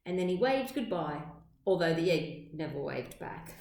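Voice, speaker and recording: A woman's voice: deep voice, animated, a little mellow, slight background noise. Echoey woman reading a storybook aloud. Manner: measured, deliberate, dramatic phrasing. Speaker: teacher reading aloud to children. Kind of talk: expressive storybook read-aloud. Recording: echoey room sound.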